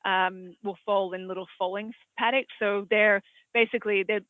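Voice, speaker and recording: Australian male equine vet, high-pitched, hesitant and rambly, dry phone-line audio. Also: steady tone, moderate pace, no room sound. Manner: rambling, hesitant, many fillers. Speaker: equine veterinarian. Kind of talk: expert answering audience questions.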